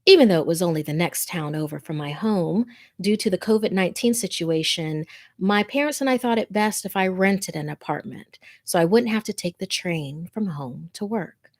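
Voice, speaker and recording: A woman hosts an informal scary story show in a slightly low voice. She is chatty and relaxed in wording, moving between addressing viewers and reading what they sent in. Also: normal speed. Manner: chatty, informal, easily amused. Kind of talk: casual livestream chat and reading listener messages and stories aloud.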